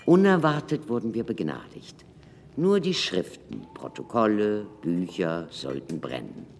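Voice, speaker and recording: An older actor in a German radio drama playing a wry, learned former prisoner, speaking formally and with measured dramatic pauses. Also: male voice, fairly low pitch, huge pitch swings, vocal fry, slight background noise, full character voice. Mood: wry, reflective. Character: learned former prisoner, wry doctor recounting his past. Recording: reverberant room, faint music underneath.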